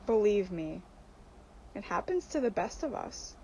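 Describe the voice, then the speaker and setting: A woman playing a sly, bossy seductress spirit in a radio horror drama, coaxing her friend with drawn-out, emphatic words. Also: medium pitch, big pitch swings, darkish tone, breathy edge, full character voice, low-quality recording.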